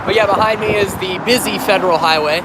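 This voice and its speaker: A high-pitched man talks casually to his chat on a phone stream, his voice a bit off from a cold. He stumbles, restarts and corrects himself as he goes. Loud highway traffic and wind roar behind him outdoors. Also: quick pace. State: recovering from a cold, coughing. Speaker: railfan livestreamer. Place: outdoors on a bridge by a busy highway. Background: heavy traffic and wind.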